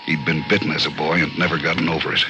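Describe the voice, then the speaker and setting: A man narrating an old radio thriller in a grim, suspenseful, deliberate manner. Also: extremely low pitch, little pitch movement, dull audio. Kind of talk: dramatic scripted narration, 1940s-50s radio thriller. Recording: old radio recording, loud music behind.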